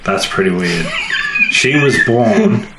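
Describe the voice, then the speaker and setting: A man's voice: lowish voice, lively intonation, slow. A man co-hosting a podcast in casual, conversational chat, reacting to his partner. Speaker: podcast co-host. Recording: echoey room, faint background noise.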